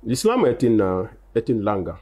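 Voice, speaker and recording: A man's voice: fairly low pitch, big pitch swings, moderate pace, a little mellow. An older man, a translator, answering interview questions in an emphatic, explanatory way, with stressed rises and frequent restarts. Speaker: guest, Arabic translator.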